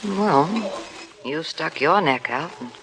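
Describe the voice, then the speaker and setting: A woman's voice: low-pitched, animated, takes it slowly, background noise. An actress in a 1950s radio drama playing a worldly, frank woman in love with a married man, with a tough, wisecracking manner.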